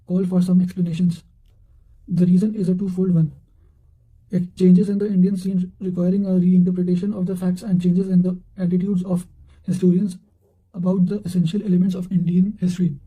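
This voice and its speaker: A man reading study quotations aloud in a halting way, often stumbling and repeating words. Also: high voice, warm tone, poor audio. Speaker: narrator reading revision notes.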